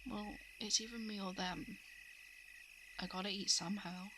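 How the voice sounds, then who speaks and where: A woman plays a female shapeshifting monster in an ASMR roleplay, guarded and coolly predatory. Her pitch is average for a woman, and she slips into hushed asides. Crickets and frogs sound clearly behind her. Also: steady tone, normal speed, natural voice. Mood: confused, coolly menacing. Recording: clear background noise of night insects, frogs. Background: crickets, frogs and night insect ambience.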